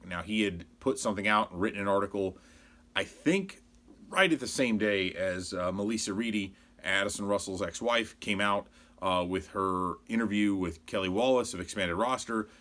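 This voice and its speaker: A man in his forties with an American accent and a slightly low voice talks casually, like a sports blogger hosting his own show. He rambles conversationally through his opinions and sounds exasperated. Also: fairly bright tone. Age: 40s.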